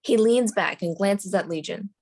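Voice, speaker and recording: A woman's voice: mid-range voice, normal speed, vocal fry, a little mellow. A woman reading screenplay stage directions as the narrator at a table read.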